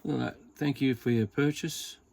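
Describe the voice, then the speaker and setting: Casting: Australian male hobbyist, creaky mid-pitched voice, informal off-the-cuff unboxing chat over faint background noise. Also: fairly even intonation, normal speed. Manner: rambling, informal, thinking aloud with mild swearing. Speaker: hobbyist radio reviewer. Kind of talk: casual unboxing and test commentary.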